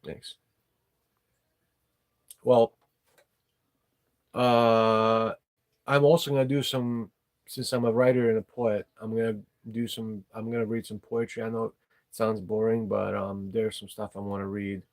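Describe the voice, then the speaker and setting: A man's voice: fairly low pitch, level tone, measured pace, pauses often, a little mellow. A man hosting an unscripted live chat, talking frankly and rambling in English, which is not his first language. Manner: rambling, frank, swears casually. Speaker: livestream host.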